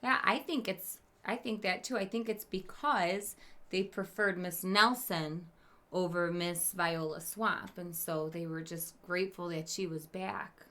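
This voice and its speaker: Female primary teacher, storybook read-aloud: forceful bright tone, slow delivery, engages young listeners directly. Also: lowish voice. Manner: expressive, emphatic, asks listeners questions. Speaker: teacher reading aloud to pupils.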